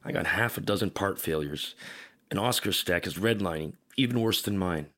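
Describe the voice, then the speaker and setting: A battle-worn cyborg soldier with a low, creaky voice. He is terse and determined, a tired veteran in a dystopian radio play. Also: normal speed, a bit bright. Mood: grim, watchful. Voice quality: gravelly, husky. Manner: terse, low-key military delivery. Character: weary, determined cybernetic veteran soldier wandering a wasteland. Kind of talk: audio drama acting.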